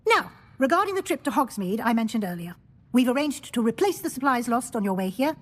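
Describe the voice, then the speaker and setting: An actress voicing a stern older female professor of magic in a video game, speaking in a crisp, wry, authoritative way. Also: a little high, very animated, fairly quick. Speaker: deputy headmistress.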